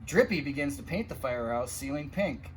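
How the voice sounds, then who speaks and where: A man reading a children's picture book aloud in an animated, expressive way, with asides to young listeners. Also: medium pitch, brisk, slight background noise. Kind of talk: animated storytime read-aloud.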